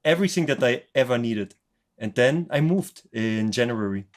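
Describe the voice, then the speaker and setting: A man from Poland who grew up in Germany speaks as a podcast guest. His voice sits at a medium pitch, and his comes out upbeat and decisive. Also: animated, normal speed. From Poland, raised in Germany. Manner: upbeat and enthusiastic, speaks English as a second language. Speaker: guest, music producer and author. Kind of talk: casual interview chat.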